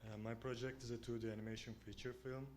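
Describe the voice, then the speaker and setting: A man from Turkey, presenting his student film in a halting way with pauses and repeated words. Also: mid-range voice, little pitch movement. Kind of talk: short presentation speech. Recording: noisy room recording.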